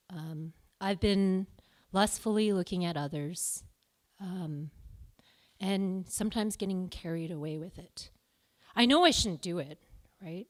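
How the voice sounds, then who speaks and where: A young woman from Canada performs stand-up on stage, talking in a self-deprecating, confiding way. She drops low for confessions and then bursts out loud. Her voice sits at an ordinary middle pitch. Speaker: stand-up comedian. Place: live venue with audience.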